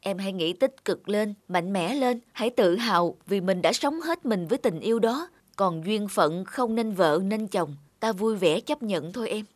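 Sensible, caring big-sister figure played by an actress. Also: female voice, mid-range voice, expressive, moderate pace, few pauses, dry recording, natural voice. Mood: encouraging. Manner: consoling, matter-of-fact. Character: caring, sensible married mother, an older-sister friend. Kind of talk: animated drama acting. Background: background music.

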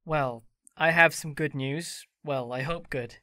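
A woman acting a gentle young man who reassures his friend. The voice is pitched low but bright and forward, recorded dry and close. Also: takes it slowly, dry recording, full character voice. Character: Laurie, kind young man.

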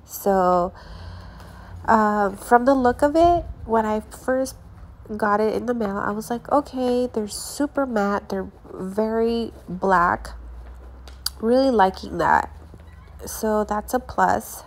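A woman's voice: medium pitch, takes it slowly. A young woman, congested from allergies, chatting casually as she reviews her lash products, with many fillers and restarts.

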